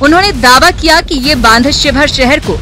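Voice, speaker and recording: A woman reading scripted regional news narration in a formal, steady way. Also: high-pitched, normal speed, over music. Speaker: news anchor.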